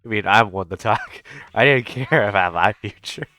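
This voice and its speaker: A man's voice: fairly low pitch, normal speed, sharp tone. A young adult man giving casual, slangy reaction commentary on a game, with frequent swearing. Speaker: streamer reacting to a game story.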